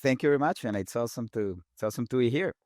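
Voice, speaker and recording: A man in his forties from Colombia with a Colombian Spanish accent, a native Spanish speaker, talking quickly and animatedly as an interview guest. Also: medium pitch, no echo, studio quality. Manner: fast-talking, animated, repeats words while restarting. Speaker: guest engineer. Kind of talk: casual interview talk.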